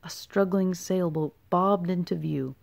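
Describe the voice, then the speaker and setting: A woman's voice: lowish voice, measured pace, vocal fry, darkish tone. A woman reading a children's story aloud as scripted narration. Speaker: storybook reader.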